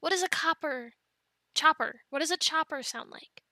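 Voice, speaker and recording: A woman playing an affectionate, playful young mother in an ASMR family roleplay, talking gently and haltingly to her children. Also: high voice, dry recording, clean studio sound. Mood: playful, bemused. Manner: gentle, halting, soft-spoken with playful bursts.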